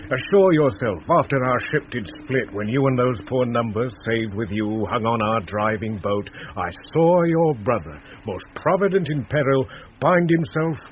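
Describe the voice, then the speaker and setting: Man playing a hearty, animated sea captain. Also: mid-range voice, normal speed, natural voice. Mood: reassuring. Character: loyal, hearty sea captain, reassuring storyteller. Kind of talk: 1970s BBC radio Shakespeare acting. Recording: dull, noisy vintage radio recording.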